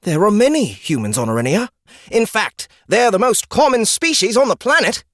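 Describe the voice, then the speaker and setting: A haughty fantasy lizard acted by a man lectures like an exasperated guide to lesser beings. The delivery is theatrical and swings widely in pitch. The sound is dry, as in an audiobook. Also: very animated, normal speed, no room sound. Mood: lecturing. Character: pompous, exasperated ninety-one-year-old male lizard guide, youthful-looking. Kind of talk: audiobook character acting.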